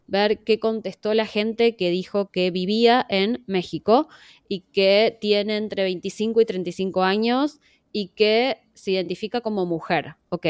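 A woman's voice: mid-range voice, smooth, dry recording, studio quality. A woman with an Argentine accent, a podcast survey organizer explaining patiently as an interview guest, with frequent fillers. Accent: Argentine Spanish. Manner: measured, many fillers and "¿no?" tags.

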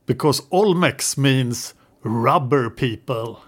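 A man's voice: medium pitch, animated, takes it slowly. Man explaining history in casual chat. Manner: teasing, leads the talk in long explanations. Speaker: podcast host.

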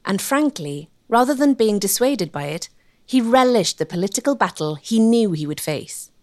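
Woman narrator with lively, varied intonation. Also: mid-range voice, normal speed. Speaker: documentary narrator. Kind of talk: scripted narration.

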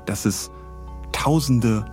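A man's voice: medium pitch, slow pace, darkish tone, soft background music. A man hosting a podcast, retelling things in a casual, humorous, chatty way. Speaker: podcast host.

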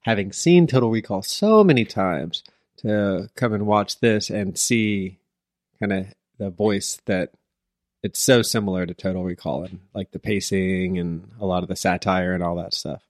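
A man co-hosting a podcast, talking in a relaxed, casual way. His humor is dry and wry, and he teases his co-host. The recording is close and dry. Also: sits low, normal speed, dry recording. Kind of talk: casual chat.

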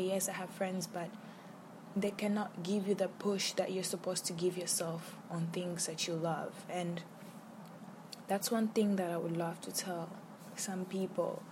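Young woman, solo podcast host, slow and earnest, lowish voice, audible background noise. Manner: earnest, encouraging, repeats key phrases. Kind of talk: solo motivational podcast talk.

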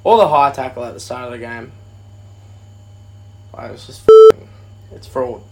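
An Australian man co-hosting a live podcast, his voice gravelly with fry. He is a bit tipsy, curses freely and trades rowdy jokes with his co-host. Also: mid-range voice, normal speed, darkish tone, rough recording. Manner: swears a lot, joking banter. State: a little tipsy. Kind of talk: casual live podcast chat.

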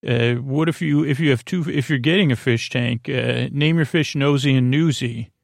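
Rambling man with a creaky, dry-recorded voice. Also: mid-range voice, no echo. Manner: meandering, halting, trails off and loses his thread. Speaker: podcast host. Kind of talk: rambling, improvised bedtime monologue.

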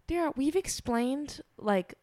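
A woman's voice: high voice, animated, mellow. A woman co-hosting a pop-culture podcast, chatting casually and filling her talk with fillers while nursing a cold. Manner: chatty, fast-flowing, heavy with fillers. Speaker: podcast co-host.

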